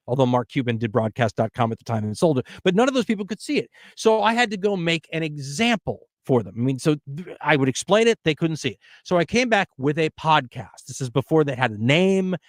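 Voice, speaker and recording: A middle-aged podcast host talks with animated, emphatic energy. His voice sits a little high for a man. The sound is dry and close, with no room echo. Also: brisk, hardly any pauses. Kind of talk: casual conversation.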